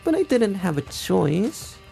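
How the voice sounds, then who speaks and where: A woman playing a sly, cocky turncoat schemer in a visual novel game, with smug, teasing, drawn-out delivery. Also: medium pitch, very animated, vocal fry, dark tone, faint music behind, heavily acted. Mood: regretful, firm.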